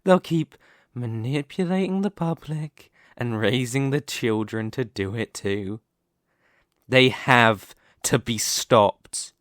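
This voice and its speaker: A man's voice: mid-range voice, takes it slowly, fairly bright tone, no echo. A man voicing a dominant, smug Demon King in an ASMR roleplay, taunting and persuasive, with a theatrical, mocking delivery close to the microphone. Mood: goading, persuasive.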